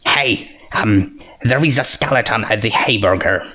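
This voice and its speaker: A man's voice: lowish voice, a little slow, pushed and bright. A man voicing a brash, agitated young female pegasus pony with a scratchy voice and a blunt, insistent manner, in a storybook reading. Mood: uneasy.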